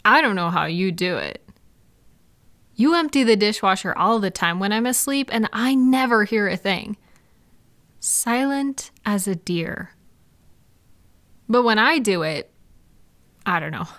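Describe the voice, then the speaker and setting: A woman playing a caring, chatty girlfriend in an intimate one-sided roleplay audio, talking affectionately and reassuringly to a partner. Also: on the high side. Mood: sheepish, fond. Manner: soft-hearted, reassuring, playful, affectionate. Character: caring, gentle, chatty girlfriend comforting a night-owl partner.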